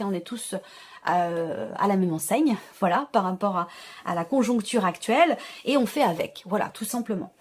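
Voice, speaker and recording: Female tarot host, slightly high register, conversational and reassuring delivery aimed straight at the viewer, with searching, repeated phrasing. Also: slightly rough. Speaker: tarot reader, channel host.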